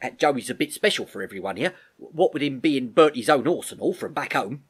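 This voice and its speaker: A man's voice: mid-range voice, animated, a little fast, breathy edge, pitched above the performer's own voice. A man voicing an earnest young country soldier in a storybook, insistently but respectfully speaking up to an officer. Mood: eager, supportive. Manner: earnest, insistent, rustic grammar.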